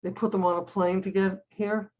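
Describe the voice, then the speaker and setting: An older woman from Cleveland whose first language was Hungarian, chatting in a relaxed, meandering way. She circles back and restarts her sentences as she recalls family history. The audio sounds dull and lo-fi. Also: medium pitch, normal speed. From United States (Cleveland, Ohio). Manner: rambling, storytelling, many restarts. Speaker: drawing class participant. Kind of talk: casual chat.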